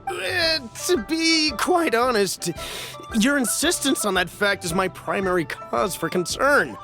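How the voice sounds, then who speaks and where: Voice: medium pitch, expressive, a little slow, sharp tone. A flustered, anxious merchant. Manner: anxious, flustered and over-careful. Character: nervous, penny-pinching merchant. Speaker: merchant buying goods. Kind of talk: video game voice acting. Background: game music.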